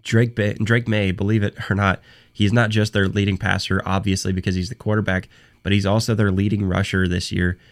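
Hoarse low-voiced man with a cold. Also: flat delivery. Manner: halting, many restarts and repeated words. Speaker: podcast host. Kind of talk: solo sports talk podcast.

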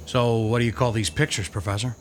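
A man's voice: mid-range voice, steady tone, a little fast, slight background noise, close to an everyday voice. An actor playing a male police detective who asks blunt, skeptical questions in an audio drama. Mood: questioning.

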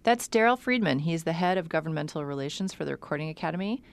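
Low, gravelly-voiced woman radio host. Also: lively intonation, fast talker. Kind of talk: scripted radio hosting.

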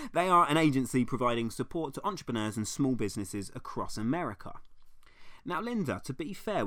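A man hosting a comedy podcast, reading a scripted segment in a wry, deadpan, sarcastic way. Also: medium pitch, no room sound. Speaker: podcast host.

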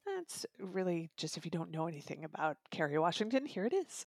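A woman's voice: on the low side, sing-song, brisk, darkish tone, no room sound. A woman giving a conversational book review as part of a discussion. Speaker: audiobook reviewer and podcast guest.

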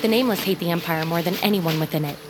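An actress playing an idealistic, loyal young scavenger in an audio drama, speaking earnestly in old-fashioned fantasy phrasing. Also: female voice, lowish voice, very fast, background noise, natural voice. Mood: hopeful.

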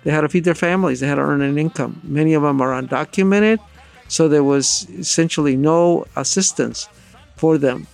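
A man's voice: fairly high pitch, brisk, gravelly, faint music behind. A man speaking as an interview guest, unscripted and emphatic, building his point in short repeated phrases. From Mixteco community of Mexico. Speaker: radio network director, interview guest.

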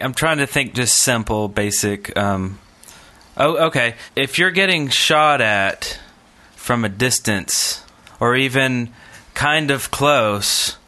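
A man's voice: medium pitch, sharp tone. A man co-hosting a podcast in casual chat about games. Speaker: podcast co-host. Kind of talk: casual chat, explaining game tips.

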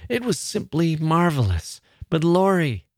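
A tearful, awestruck young girl of good family, played by a male narrator, speaks in a raised, delicate pitch. The delivery is faltering and emotional, as in a storybook reading. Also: very animated, extremely slow, a little mellow, breathy edge, dry recording, studio quality, full character voice. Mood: sobbing wonder.

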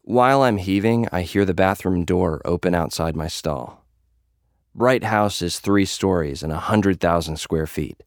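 A man's voice: low voice, unhurried, dry recording, clean studio sound. A man narrating an audio drama in the first person as a cocky, jaded young influencer who fights, with a brooding, menacing delivery. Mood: brooding, cynical. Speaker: narrator and lead character of an audio fiction.

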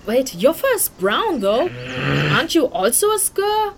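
A woman's voice: high-pitched, big pitch swings, a little slow, slight background noise. A woman playing a chatty young human in a fantasy audio drama, flippant and sarcastic, full of dramatic little asides as the peacemaker. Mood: chirpy.

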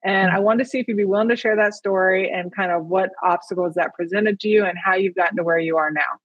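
A woman hosts a podcast with eager, chatty enthusiasm, her speech full of fillers. Her voice is a little husky. The recording is dry and slightly muffled. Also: mid-range voice, fairly even intonation, fast talker, no echo. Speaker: podcast host. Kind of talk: casual interview.

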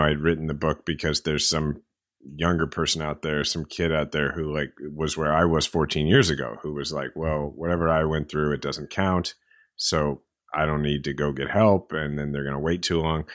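A man co-hosting a talk podcast, telling stories in an earnest, relaxed way. His voice is very low and is recorded dry and close to the mic. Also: no echo. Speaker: podcast co-host and author. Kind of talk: casual chat.

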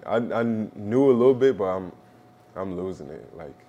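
A young man from Belgium whose first language is French, answering interview questions in a relaxed, halting way with many pauses. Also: lowish voice, lo-fi sound. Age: young adult. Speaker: basketball prospect, interviewee.

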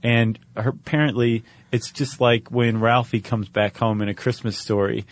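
A man's voice: mid-range voice, fairly even intonation, moderate pace. A man co-hosting a podcast, chatting casually and retelling stories with wry, jokey asides. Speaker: podcast co-host. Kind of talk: casual two-person chat, retelling stories.

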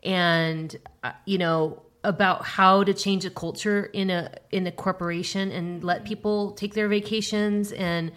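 A woman's voice: medium pitch, steady tone, a little slow, few pauses, a bit bright. A woman in her fifties hosting a podcast, talking in a chatty, agreeable way with many fillers. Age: 50s. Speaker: podcast host. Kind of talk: casual chat.

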